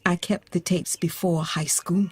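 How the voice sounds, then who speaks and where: An actress playing a gentle, grieving middle-aged mother who offers consolation in a naturalistic audio drama. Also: on the low side, steady tone, breathy edge, soft background music. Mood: tender, wistful. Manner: slow, gentle, earnest delivery with pauses. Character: grieving, consoling mother who lost her teenage daughter.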